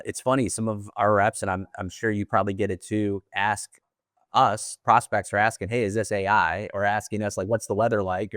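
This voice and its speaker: A man's voice: fairly low pitch, no room sound, clean studio sound. A man hosting a conversational interview, chatty and effusive, often doubling back on his words. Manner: chatty, effusive, repeats himself. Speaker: podcast host.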